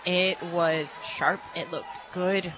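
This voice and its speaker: A woman giving casual, informal color commentary on a softball radio broadcast. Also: fairly low pitch, fairly even intonation. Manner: informal, quick to laugh. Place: radio booth at an outdoor ballpark. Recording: noisy crowd and loud music behind. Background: crowd, loud music.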